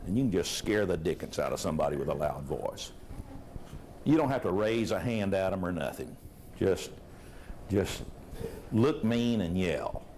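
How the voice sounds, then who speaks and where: A man preaching a sermon to a live congregation, folksy and animated, with a loud voice and frequent rhetorical questions. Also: sits low, moderate pace, a little hiss or hum.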